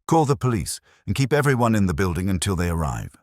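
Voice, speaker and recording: Narrator playing a very deep, incisive male detective. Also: very animated, quick pace, dry recording, clean studio sound. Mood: urgent, commanding. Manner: level, precise, probing questioning. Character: cool, incisive male detective, relentless and commanding. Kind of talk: audiobook detective story dialogue.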